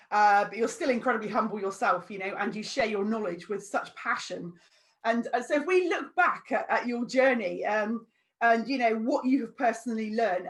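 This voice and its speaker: A woman from the UK hosting an interview, effusive in her praise and leaning on fillers like um and you know. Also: fairly high pitch. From United Kingdom. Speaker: host.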